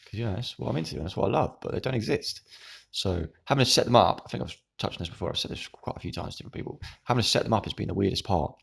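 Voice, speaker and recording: Young guy rambling to camera at home, deep gravelly croak, kinda husky. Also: expressive, very fast. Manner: rambling, meandering. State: croaky, hoarse. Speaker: YouTuber. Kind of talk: casual monologue to camera.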